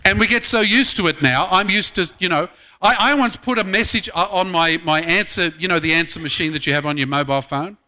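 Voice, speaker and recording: Middle-aged male pastor, high bright voice, chatty sermon, keeps asking the room questions. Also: a little fast. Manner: conversational, asks the congregation many questions.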